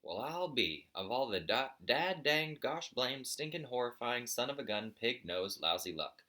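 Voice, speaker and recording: A man's voice: medium pitch, sing-song, measured pace, bright, forceful tone, voice pushed up. A man voicing a cranky, greedy old father buzzard who nags and blusters in a folksy way for a children's storybook. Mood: indignant, blustering. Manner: nagging, blustering, folksy lecturing.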